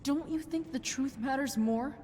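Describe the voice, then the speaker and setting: A woman playing a rebellious, grieving teenage girl in a game, speaking haltingly and earnestly, often hushed. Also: high-pitched, long reverb tail, a little hiss or hum, lightly acted. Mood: indignant. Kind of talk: naturalistic dramatic game acting.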